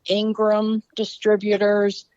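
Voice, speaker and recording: A woman's voice: medium pitch, monotone, slow pace, clear, resonant tone, no echo, lo-fi sound. An older woman with a Baltimore accent, chatting as a guest author on a podcast. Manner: chatty, warm storyteller. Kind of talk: casual podcast conversation.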